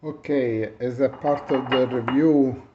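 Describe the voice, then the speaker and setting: A man with an Italian accent, demonstrating an espresso machine in halting, searching English and getting excited about the crema. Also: mid-range voice. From Italy. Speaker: product reviewer. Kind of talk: casual hands-on demo. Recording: dull lo-fi sound with mains hum.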